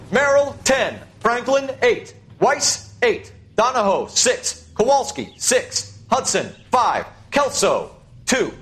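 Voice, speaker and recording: A commanding Marine officer with a high-pitched voice for a man. He speaks formally and with stern authority in a game drama. Faint music sits behind him. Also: a bit bright, exaggerated voice. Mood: clipped, official. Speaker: military officer. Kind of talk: video game drama acting, formal military address.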